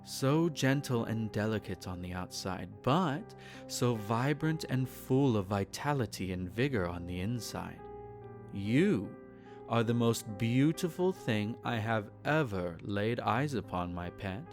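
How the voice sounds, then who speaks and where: A man performs a centuries-style vampire gentleman, possessive and teasing, in an intimate audio roleplay. His formal, silky delivery is slow and rises and falls widely. Gentle guitar music plays underneath. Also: on the low side, animated. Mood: adoring, poetic. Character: possessive, teasing, courtly male vampire, centenarian yet youthful. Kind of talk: intimate roleplay audio, scripted character acting.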